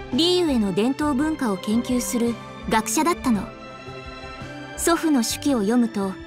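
A woman's voice: fairly high pitch, close to an everyday voice. A woman voicing a proud, refined, dutiful young lady official in an anime game, with poised feminine speech. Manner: poised, formal feminine speech, flustered when teased. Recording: faint music behind.

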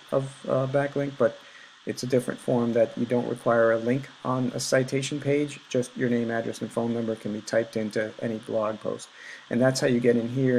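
A man working as a web designer and marketer, explaining search rankings in a casual, explanatory way with many filler pauses over a screen recording. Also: medium pitch, a little mellow, slight background noise, poor audio. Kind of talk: screen-share website review.

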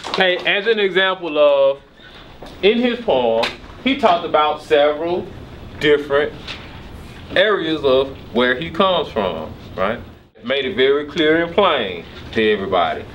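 A man's voice: a little high, expressive, a little slow, fairly bright tone, dull audio, slight background noise. A man in his forties leading a poetry workshop for children in a classroom, earnest and coaxing, often checking in with "right?". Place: classroom, indoors. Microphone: far camera. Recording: echoey room from far off, with music.

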